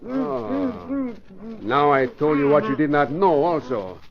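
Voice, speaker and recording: An actor playing a theatrical Mexican hired killer with a Spanish accent and broken English, menacing but cowardly, in 1940s radio crime drama. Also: male voice, fairly high pitch, very animated, slow pace, creaky, a crowd in the background, heavily acted. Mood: gloating, menacing. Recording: muffled, noisy vintage radio recording.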